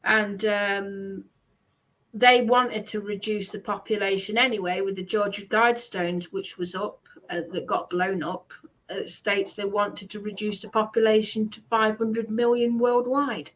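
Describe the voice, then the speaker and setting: A woman's voice: medium pitch, flat delivery, a little slow, fairly bright tone, poor audio. A British woman chatting casually about politics, making her points emphatically. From United Kingdom.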